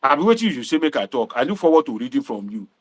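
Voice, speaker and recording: A Nigerian man hosting a livestream, talking to viewers in a preachy, animated way and dropping Pidgin asides. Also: medium pitch, fast. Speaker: livestream host and political commentator.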